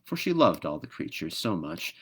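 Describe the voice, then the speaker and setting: Low-voiced, expressive man reading a storybook. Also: moderate pace, slight background noise. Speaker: storybook reader. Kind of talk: expressive read-aloud narration.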